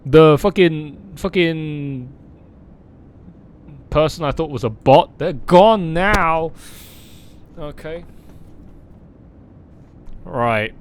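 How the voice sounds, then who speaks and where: Man, game livestreamer, somewhat high smooth voice, slow delivery, casual, blunt, mocking, foul-mouthed. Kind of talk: casual livestream chat with gaming commentary.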